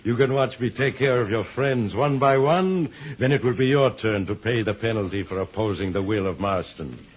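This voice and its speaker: An actor playing a gloating megalomaniac space villain in an old-time radio serial, pompous, menacing and theatrical. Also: male voice, on the low side, normal speed, hardly any pauses, close to an everyday voice. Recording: muffled, hissy old radio recording.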